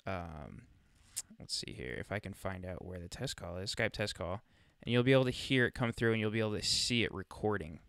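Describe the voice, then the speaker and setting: A man with a clear, fairly low voice guides listeners through software steps in a casual, chatty way. He thinks aloud as he demonstrates. The sound is close and dry, as from a USB microphone right by him. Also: lively intonation, brisk, dry recording. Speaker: tutorial presenter. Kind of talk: casual screen-recorded software walkthrough.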